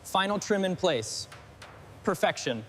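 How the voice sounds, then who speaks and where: A man's voice: sits high, very animated, measured pace, a little hiss or hum. A man giving short instructions and remarks as part of a truck restoration team.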